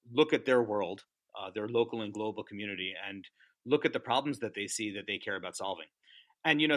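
A man talking about teaching as a podcast guest, animated and passionate, often asking "right?". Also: mid-range voice, no echo. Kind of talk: casual interview chat.

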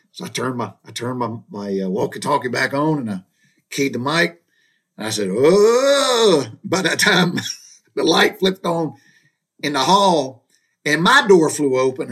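A middle-aged man with a drawl talks through old memories in a loose, rambling monologue. He chuckles often and curses mildly, with a folksy, unhurried storyteller's manner. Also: mid-range voice, a bit bright, slightly rough. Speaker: podcast host.

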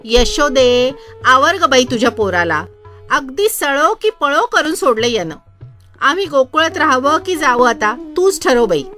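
A woman storyteller voicing a soft-hearted village milkmaid who talks to her neighbour in colloquial, expressive pleas. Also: high-pitched, measured pace, faint music behind, heavily acted. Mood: exasperated.

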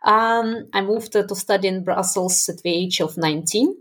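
Husky Russian-accented woman, halting and warm. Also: mid-range voice. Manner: self-deprecating, laughs easily, halting with frequent fillers. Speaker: podcast guest. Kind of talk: conversational interview.

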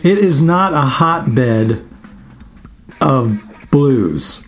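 A man from the US East Coast hosting a guitar radio show, asking questions in a relaxed, chatty way with fillers and restarts. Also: mid-range voice, extremely slow. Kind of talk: casual radio interview.

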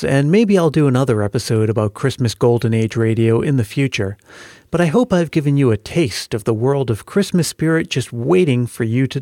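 A man's voice: medium pitch, darkish tone, no room sound, clean studio sound. A man hosting a podcast, reading scripted narration in an addressing-the-listener style. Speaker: podcast host. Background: music bed.

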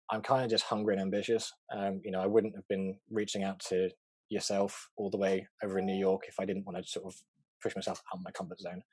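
A young man from England with a neutral English accent, a fast-talking, chatty interview guest on a video call, full of fillers and quick laughs. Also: low voice, a little mellow, breathy edge, no echo. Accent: English, neutral southern. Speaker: interview guest, art director.

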